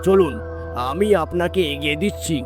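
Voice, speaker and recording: A man acting a high-pitched, polite village uncle. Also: moderate pace, over music, close to an everyday voice. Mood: polite concern. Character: polite, concerned village uncle in a horror cartoon.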